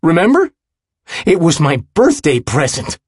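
A man voicing an anguished, furious man making forceful demands and urgent questions in audiobook dialogue. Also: fairly high pitch, huge pitch swings, unhurried, breathy edge, dry recording, clean studio sound, voice pushed up, full character voice. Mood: furious, desperate. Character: anguished, furious man confronting someone he loves.

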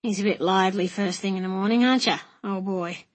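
Woman voicing a blunt, brisk coachman. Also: medium pitch, close to an everyday voice. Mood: brisk, teasing. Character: rough, cheery young Australian coachman, fatherly and blunt.

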